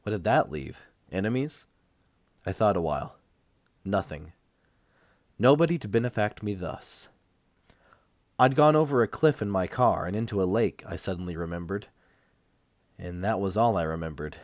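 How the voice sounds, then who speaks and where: Low-voiced man narrating a novel. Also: lively intonation, normal speed, pauses often, darkish tone, dry recording. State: recovering from a cold. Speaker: amateur audiobook reader. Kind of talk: first-person novel narration.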